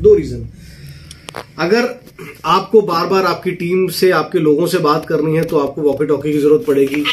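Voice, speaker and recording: Higher-pitched man, explaining casually. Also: a little mellow. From India. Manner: explanatory, mixing English phrases into speech. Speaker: product reviewer and walkie-talkie seller. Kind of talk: casual product demonstration. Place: small office, indoors.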